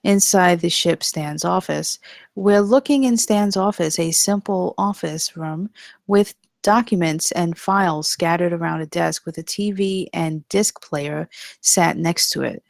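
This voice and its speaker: Low, creaky woman narrator. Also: unhurried, no room sound, studio quality. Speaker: narrator reading stage directions. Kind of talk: scripted narration of a screenplay table read.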